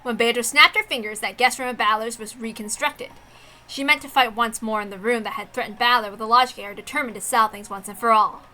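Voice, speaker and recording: A woman's voice: a little high, fairly even intonation, fast, bright, forceful tone, poor audio. A woman reading scripted, dramatic narration from a visual novel. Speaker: narrator, reader.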